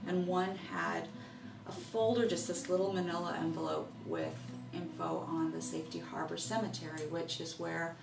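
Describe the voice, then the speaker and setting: A woman's voice: fairly low pitch, steady tone, moderate pace, vocal fry, hardly any pauses. A middle-aged woman speaking casually in an interview about her own work. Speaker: author of a local history book, interviewee. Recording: echoey, distant mic, loud music underneath. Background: guitar music.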